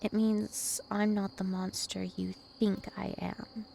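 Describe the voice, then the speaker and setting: Slow, seductive vampire princess, acted by a woman. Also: medium pitch, level tone, gravelly, dark tone, slight background noise. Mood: calm, probing. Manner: slow, deliberate, teasing and possessive. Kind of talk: ASMR roleplay.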